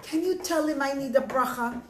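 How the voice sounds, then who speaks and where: A woman's voice: high-pitched, moderate pace, close to an everyday voice. A woman voicing an anxious, pleading merchant man in a folk tale, fretful and exclamatory. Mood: worried, pleading. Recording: roomy echo, faint noise.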